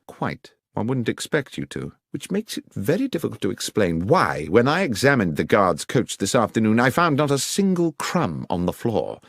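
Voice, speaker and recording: Guy doing a brainy victorian detective for an audiobook, precise and probing, lively swings, very dry close sound. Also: on the low side, gravelly, dry recording, full character voice. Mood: pointed, incisive. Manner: precise, deliberate, questioning. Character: brilliant, composed Victorian male detective, probing and assertive.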